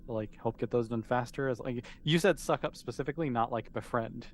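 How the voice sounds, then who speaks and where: A man's voice: mid-range voice, fairly quick, slight background noise. A man casually narrating a tabletop game as game master, explaining in an easygoing, conversational way.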